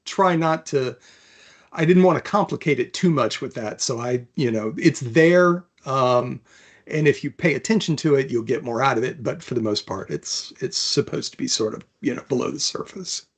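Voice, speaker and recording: A man with a medium-pitched voice speaks as a guest author. He has a thoughtful, unassuming delivery, suited to explaining or reading his own fantasy fiction. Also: normal speed, husky.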